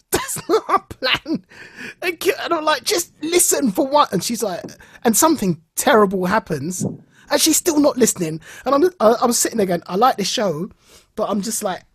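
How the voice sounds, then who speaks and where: A man co-hosting a podcast in a casual, enthusiastic way, telling stories in an animated manner and acting out bits of dialogue. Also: extremely high pitch, moderate pace, slightly rough, no echo. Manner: animated storytelling, acts out reported dialogue. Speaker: podcast co-host.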